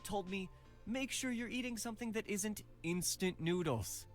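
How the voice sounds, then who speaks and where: A man's voice: high-pitched, normal speed, faint music behind, close to an everyday voice. A man playing a teasing, protective and stubborn young best friend in a visual novel, with wry, exasperated banter. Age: young adult.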